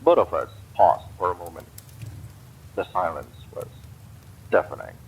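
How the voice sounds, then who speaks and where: A man reading a horror story aloud, in a slow and expressive way. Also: low voice, a little hiss or hum, rough recording. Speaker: story reader.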